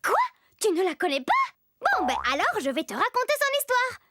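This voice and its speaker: Very high-pitched little storyteller girl. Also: huge pitch swings, fairly quick, background noise, heavily acted. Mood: mock-spooky. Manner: chatty, playful, addresses the listener directly. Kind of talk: animated children's storytelling, talking to the viewer. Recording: loud music behind the voice.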